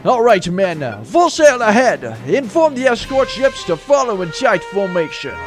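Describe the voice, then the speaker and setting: Man playing pompous, high-voiced space commandant. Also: sing-song, measured pace, music in the background. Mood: indignant, proud. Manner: flowery, pompous, self-important. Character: pompous, vain male space commandant obsessed with honor. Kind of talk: comedic audio drama acting.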